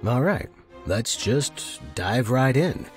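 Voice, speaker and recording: A man hosting and narrating fiction in a slightly husky voice. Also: mid-range voice, lively intonation, slow pace, soft background music. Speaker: podcast host and narrator. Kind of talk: scripted narration.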